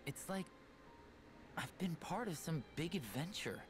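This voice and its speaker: An actor playing an earnest teenage boy hero in a video game, gentle, soft and sincere. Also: on the high side, animated, measured pace, over music. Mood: wistful.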